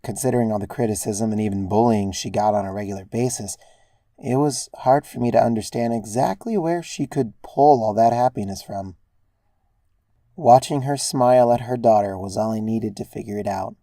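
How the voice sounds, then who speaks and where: A narrator reading a first-person story in a measured, reflective way. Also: mid-range voice, warm tone, dry recording, clean studio sound. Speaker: narrator of fan fiction.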